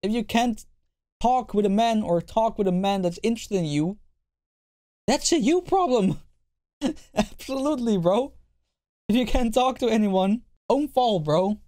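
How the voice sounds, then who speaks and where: A man's voice: high-pitched, lively intonation, a little slow, long pauses, mellow. A man streaming a reaction video, talking in a blunt, profane, repetitive way while losing his voice. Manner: blunt, profane, repeats himself. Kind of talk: casual reaction commentary.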